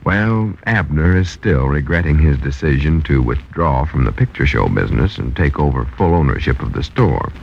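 A man's voice: a bass voice, lively intonation, moderate pace, creaky, hardly any pauses. A man announcing a comedy serial in scripted 1940s radio narration. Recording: muffled, hissy 1940s radio recording.

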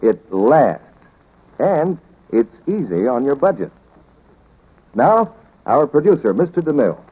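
A man's voice: mid-range voice, sing-song, unhurried, dark tone, breathy edge, poor audio. A male radio announcer delivering scripted 1940s announcements and sales patter. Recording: old 1940s radio broadcast.

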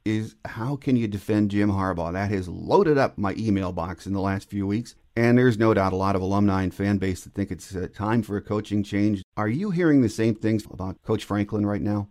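A man's voice: fairly low pitch, normal speed, hardly any pauses, a little mellow. A man hosting a sports podcast, speaking in a conversational interview style.

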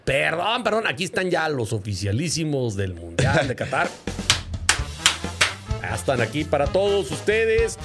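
Brash, animated Mexican man hosting. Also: on the high side, a little slow, over music. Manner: brash, slangy, heavy swearing. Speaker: show host. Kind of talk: casual, joking panel banter.

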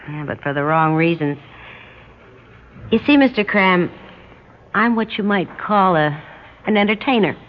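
A woman's voice: mid-range voice, animated, measured pace, pauses often, lightly acted. An actress playing a weary, lonely woman with a wry, self-deprecating, evasive manner, in 1960s radio drama style. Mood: weary, candid. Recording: dull, noisy 1960s radio recording.

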